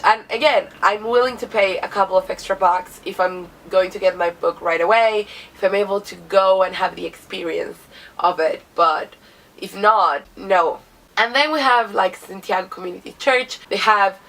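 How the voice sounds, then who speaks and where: A woman's voice: mid-range voice, moderate pace, fairly bright tone, distant mic, poor audio. Chatty Chilean woman with a cold. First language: Spanish. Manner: chatty, frequent "like" fillers. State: has a cold. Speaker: book vlogger. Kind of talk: casual vlog monologue.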